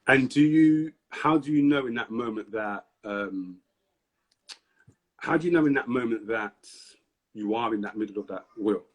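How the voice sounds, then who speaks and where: A man's voice: medium pitch, normal speed, breathy edge, muffled recording. A man hosting a live-streamed interview, upbeat and hyping up the audience between questions. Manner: upbeat hype, repeats prompts to the audience. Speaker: host.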